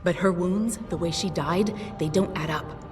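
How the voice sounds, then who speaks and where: A woman's voice: fairly low pitch, normal speed, lightly acted. An actress playing a tough, guarded female pilot who swears often in a video game cutscene. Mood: upset. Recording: distant voice with long reverb over music.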